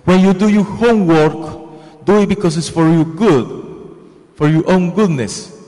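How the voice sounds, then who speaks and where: A man's voice: sits high, a little slow, mellow. A young man from Colombia with a Spanish accent, giving an earnest, repetitive homily into a handheld microphone in a church. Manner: earnest, repetitive, preaching with hand gestures. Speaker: priest. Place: large church sanctuary. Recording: long church reverb.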